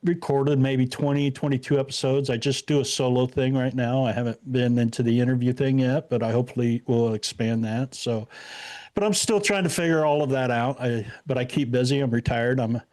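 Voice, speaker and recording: A man's voice: medium pitch, fairly even intonation, a little fast, a little mellow. Rambling retired man in his sixties. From Indiana. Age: 60s. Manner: easygoing, rambling with many fillers. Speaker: podcast guest. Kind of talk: casual interview chat.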